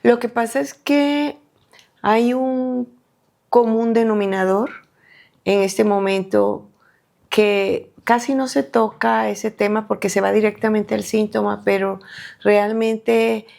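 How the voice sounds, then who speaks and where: A middle-aged woman from Colombia, a doctor being interviewed on a podcast, speaking in a halting way with many fillers and restarts. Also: on the high side, steady tone, creaky, a little mellow. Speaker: guest doctor.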